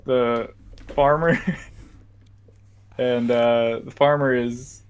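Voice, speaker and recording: A young man co-hosting a podcast, chatting casually with many pauses and fillers. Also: mid-range voice, steady tone, clean tone. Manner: easygoing, hesitant, lots of fillers. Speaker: podcast co-host. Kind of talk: casual chat.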